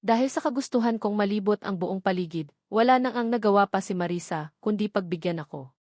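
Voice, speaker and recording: A woman's voice: medium pitch, fairly quick, darkish tone, dry recording, clean studio sound. A woman with a Filipino accent reading a first-person romance novel as an audiobook narrator.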